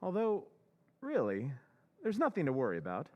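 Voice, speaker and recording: A man's voice: a little high, huge pitch swings, moderate pace, lo-fi sound. A man playing a once-timid husband with a secret scheme, speaking in a sly, conspiratorial way in a radio drama recreation. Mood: giddy. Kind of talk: old-time radio drama acting.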